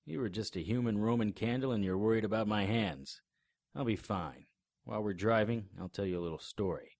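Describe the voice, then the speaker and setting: A man's voice: lowish voice, a little fast, darkish tone, no room sound. A man narrating and acting the lead in a noir audio drama, dry and wry in manner.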